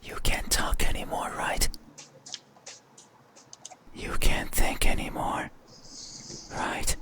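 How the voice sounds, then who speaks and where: A performer voicing an eerie, mysterious being in a horror game, menacing yet sorrowful, speaking in a breathy, halting, insistent whisper. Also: close to an everyday voice. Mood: mournful. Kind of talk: horror visual novel voice acting.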